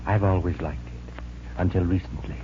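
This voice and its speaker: An actor in a 1940s radio mystery playing a courteous, formal and uneasy owner of a haunted mansion. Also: male voice, low voice, steady tone, close to an everyday voice. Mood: polite, grave. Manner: formal, polite, measured. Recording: muffled, noisy 1940s radio recording.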